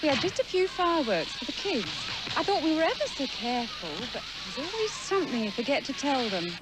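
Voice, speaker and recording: A woman's voice: sits high, very animated, brisk. An actress playing a chatty, self-justifying mother who talks at a neighbour, on a hissy old film soundtrack. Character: chatty, fussing English mother. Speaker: mother in a public information film.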